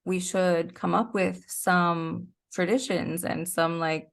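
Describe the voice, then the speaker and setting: Low-pitched, creaky-voiced woman explaining reflectively. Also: flat delivery, slow pace. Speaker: podcast guest, creator. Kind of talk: casual interview conversation.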